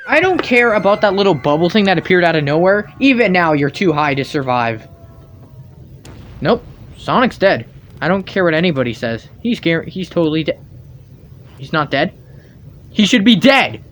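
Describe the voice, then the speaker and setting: A man's voice: fairly high pitch, big pitch swings, normal speed, long pauses, fairly bright tone, slight background noise. A man giving mocking, nitpicking commentary on game cutscenes in an incredulous, sarcastic manner. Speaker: video commentator.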